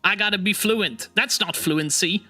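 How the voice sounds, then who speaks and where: Man, high voice, very bright tone, second-language English, chatty, laughs a lot. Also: unhurried, faint music behind. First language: not English. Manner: laughs often, animated and teasing. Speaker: streamer host. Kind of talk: casual chat.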